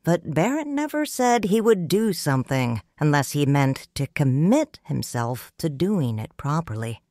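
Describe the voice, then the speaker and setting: A woman narrating a story in first person, calm and reflective. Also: low voice, a little slow, no echo, studio quality.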